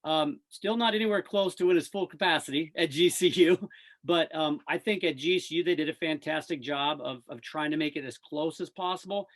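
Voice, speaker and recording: Higher-pitched man chatting casually about sports. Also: brisk, fairly bright tone. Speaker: radio play-by-play sports announcer, interview guest. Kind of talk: casual enthusiastic sports chat.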